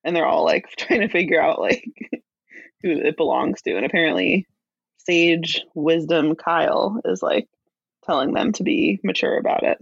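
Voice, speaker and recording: A man's voice: a little high, lively intonation, normal speed, creaky, breathy edge, no room sound, lo-fi sound. A man who works as a defense lawyer, chatting casually and wryly with frequent laughs. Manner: wry, laughing, sarcastic. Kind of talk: casual podcast chat.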